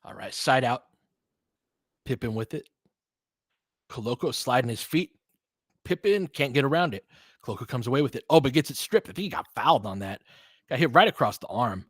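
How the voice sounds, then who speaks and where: A man gives casual live basketball commentary as an openly biased fan. His medium-pitched voice moves in lively swings between low asides and emphatic calls. Phrases are short and informal, and the sound is dry, with hardly any room to it. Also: a little fast, long pauses, no room sound. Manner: clipped phrases, informal, reacts emotionally to plays. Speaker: play-by-play commentator and host.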